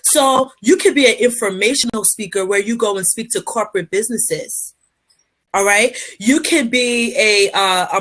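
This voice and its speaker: A woman from Liberia talks as a guest on a podcast, earnest and motivational, sprinkling in fillers and restarting her sentences. Her voice sits a little high for a woman. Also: a bit bright. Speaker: guest, public speaker. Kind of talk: podcast interview answers.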